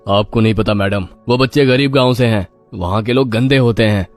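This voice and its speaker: A man's voice: lowish voice, fairly quick, soft background music, natural voice. Man playing a haughty school official. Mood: scornful. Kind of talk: children's moral story voice acting.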